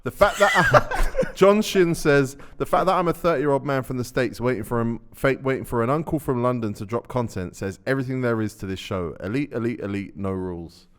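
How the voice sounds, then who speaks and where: A man's voice: mid-range voice, a little fast, few pauses, fairly bright tone. A man co-hosting a casual chat show, reading out viewer comments in a dry, wry way.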